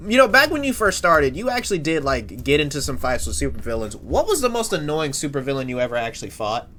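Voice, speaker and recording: An excitable, foul-mouthed superhero played by a man in loose improvised banter. The voice is bright and forceful, with a faint steady hiss underneath. He talks in rushed bursts and keeps restarting his sentences. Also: a little high. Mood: casual banter. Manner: excitable, swears a lot, frequent restarts. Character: brash young adult male superhero, talkative. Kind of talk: improvised in-character chat.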